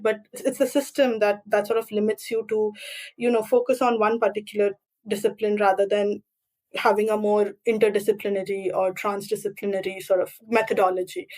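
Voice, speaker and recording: A woman's voice: medium pitch, a little mellow. Thoughtful young Indian-accented woman. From Delhi, India. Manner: articulate, explanatory, thoughtful. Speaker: podcast guest, doctoral researcher. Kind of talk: reflective interview talk.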